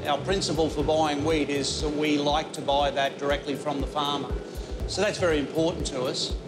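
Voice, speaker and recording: A middle-aged Australian man, a mill manager, answering interview questions in a measured, explanatory way inside a flour mill control room. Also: on the high side, steady tone, over loud music.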